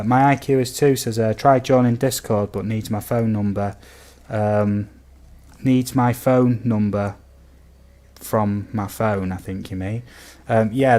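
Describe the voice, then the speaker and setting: A man with a fairly low voice that has a slight croak to it. He talks as a game streamer hosting a casual live chat. His manner is easygoing and chatty, addressing viewers like mates. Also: a little mellow.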